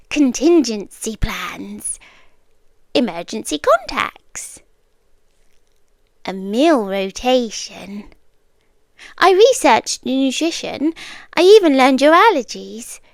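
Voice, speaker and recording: A woman's voice: on the high side, no echo, heavily acted. A British woman voicing an obsessive, sweetly menacing girlfriend in an intimate ASMR roleplay, coy and cooing with whispery dips.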